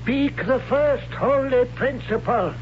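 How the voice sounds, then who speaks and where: An actor playing a stern old high priest who drills his son in the holy principles, speaking in a slow, instructive way in a 1970s radio drama. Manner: stern and instructive, reciting ritual. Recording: dull old radio broadcast with faint hum.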